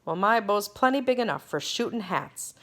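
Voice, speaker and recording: A woman's voice: mid-range voice, expressive, moderate pace, pitched above the performer's own voice, lightly acted. A woman voicing a proud, spoiled little boy duke who sneers and taunts. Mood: sneering. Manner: sneering, bratty.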